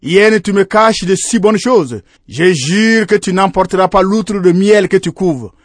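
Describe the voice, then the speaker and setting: A man's voice: fairly high pitch, unhurried, gravelly, a bit bright, no echo, heavily acted. Man as proud, emphatic lion king. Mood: astonished, menacing. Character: proud male lion king, gullible, commanding husband.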